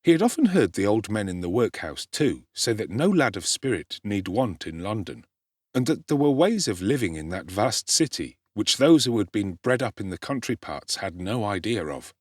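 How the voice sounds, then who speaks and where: A man giving a dramatic, expressive audiobook narration of a classic novel, telling the story in a measured way. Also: lowish voice, dry recording, studio quality.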